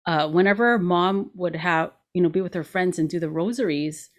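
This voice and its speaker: Woman, forties, show host, lower-pitched, informal conversation, giggly manner. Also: normal speed. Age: 40s. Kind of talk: casual conversation.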